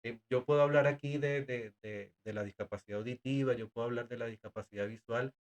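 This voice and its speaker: A man's voice: medium pitch, fairly even intonation, fast talker. A man who works as a sign language interpreter and teacher of deaf students, a guest in a casual conversation, speaking earnestly with frequent hesitations and repeated words.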